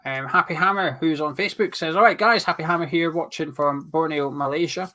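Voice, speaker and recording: A man's voice: a little high, brisk, sharp tone. Man reading viewer comments aloud. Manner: reads out comments, teasing banter. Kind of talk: casual live watch-along chat.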